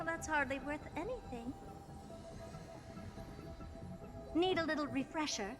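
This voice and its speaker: A woman's voice: high-pitched, fairly quick, music in the background, lightly acted. A woman playing a haughty, teasing genius scholar in a video game, with smug, theatrical, condescending delivery. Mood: condescending, boastful.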